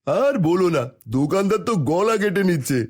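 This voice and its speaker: A man voicing a worried village customer who complains plaintively, in an animated moral tale. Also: sits high, normal speed.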